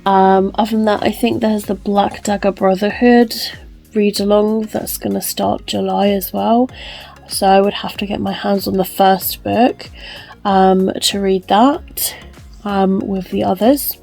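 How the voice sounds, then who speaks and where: Rambling woman vlogger, gravelly. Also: medium pitch, steady tone, a little slow, dark tone, faint music behind. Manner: rambling, many ums and likes. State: wheezy, recovering from illness. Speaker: book vlogger. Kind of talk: casual vlog chat.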